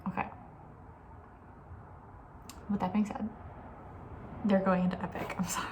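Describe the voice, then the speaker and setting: A young woman with a soft, breathy voice, often near a whisper, chatting casually and with playful opinions in an ASMR style. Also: medium pitch, little pitch movement, a little slow, a little hiss or hum. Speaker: ASMR video creator.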